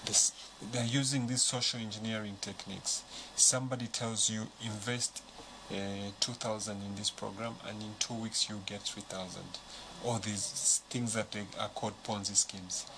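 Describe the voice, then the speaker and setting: A man, a bank official, explaining in a measured, patient way in a studio interview. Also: on the low side, fairly even intonation. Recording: noisy studio background.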